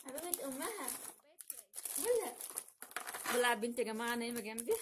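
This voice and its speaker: A woman's voice: on the high side, sing-song, fairly quick. A young woman with an Egyptian Arabic accent, chatting casually and playfully as the host of a home challenge video. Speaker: vlog host. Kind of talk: casual unboxing challenge chat. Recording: noisy home recording, crinkling packets.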